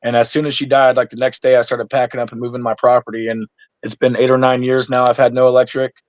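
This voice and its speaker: A man's voice: mid-range voice, flat delivery, quick pace, no echo, poor audio. Blunt, swearing man near forty, dry sound. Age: 30s. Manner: blunt, swears freely, stammers on restarts. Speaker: guest. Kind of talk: casual conversation.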